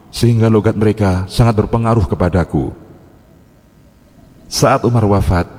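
A man narrates a religious story in a calm, measured way, reading from a script. His voice sits a little low. A long reverb hangs behind his words.

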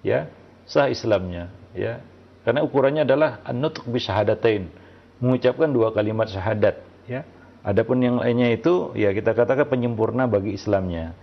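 A male religious teacher answering listener questions in a measured, hesitant way, often tagging his phrases with short fillers. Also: mid-range voice, gravelly. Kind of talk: religious Q&A lecture. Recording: muffled lo-fi audio.

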